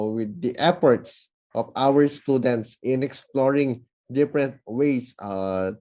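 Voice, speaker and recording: Man giving measured feedback. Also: medium pitch, dark tone. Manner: measured, enumerates points. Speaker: judge giving comments. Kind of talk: formal spoken feedback. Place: online event recording.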